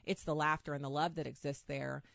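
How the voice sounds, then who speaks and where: Lowish-voiced woman, close and dry. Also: level tone, brisk, vocal fry, a bit bright, no room sound. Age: middle-aged. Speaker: podcast host. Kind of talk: casual interview chat.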